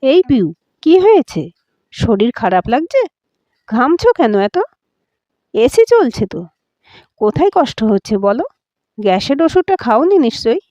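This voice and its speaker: A woman's voice: on the high side, big pitch swings, unhurried, pauses often, mellow, no echo, muffled recording. A woman voicing a groom's friendly, caring sister in an audio story, with a chatty, familiar way of talking. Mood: worried.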